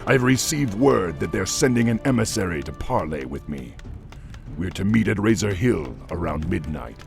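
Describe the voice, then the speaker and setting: A man voicing a calm, measured male orc war chief giving orders in a video game cutscene. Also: deep voice, creaky, soft background music. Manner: measured, commanding and reassuring.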